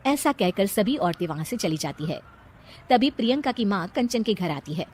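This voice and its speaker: A woman's voice: medium pitch, sing-song, moderate pace, darkish tone, slightly rough. A woman narrator reading a scripted moral story for a cartoon.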